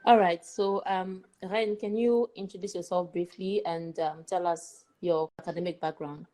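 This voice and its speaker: A woman's voice: on the low side, steady tone, moderate pace, warm tone. A woman presenting and interviewing as the host of a podcast. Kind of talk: scripted podcast introduction and interviewing.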